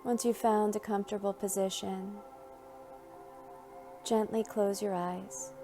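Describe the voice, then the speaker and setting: A woman leads a guided meditation in a mellow, relaxed voice at a medium pitch. She speaks very slowly and gently, letting long pauses fall between short phrases. Quiet music plays faintly behind her. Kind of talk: guided meditation, slow scripted narration.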